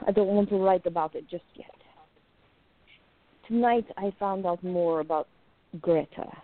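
A woman playing a jealous, besotted young woman confiding in her diary in a radio play, intimate, expressive and musing. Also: on the low side, unhurried, close to an everyday voice. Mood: tender, uneasy. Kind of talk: dramatic first-person diary narration in a radio play. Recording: narrow phone-like lo-fi sound.

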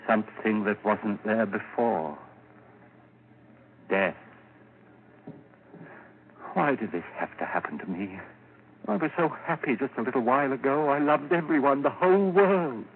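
Earnest, animated radio drama innocent. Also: male voice, medium pitch, narrow, tinny audio. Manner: earnest, melodramatic. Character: gentle, idealistic, anxious man who loves the world. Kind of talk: 1940s radio acting. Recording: thin, noisy 1940s radio recording.

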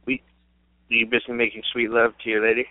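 Joking male podcast co-host, mid-pitched, tinny dry phone-like audio. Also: monotone, a little fast, no echo. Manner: teasing, joking. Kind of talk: casual sports podcast chat.

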